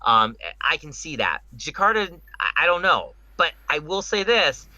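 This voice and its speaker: Male podcast host, very forceful and emphatic, opinionated panel talk, dry but slightly muffled recording. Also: fairly high pitch, sing-song, moderate pace, no echo. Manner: emphatic, rhetorical, repeats himself. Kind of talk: opinionated casual panel discussion.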